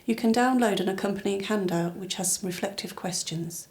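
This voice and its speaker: A woman reading formal, scripted instructional narration for a training film at a measured, even tempo. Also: medium pitch.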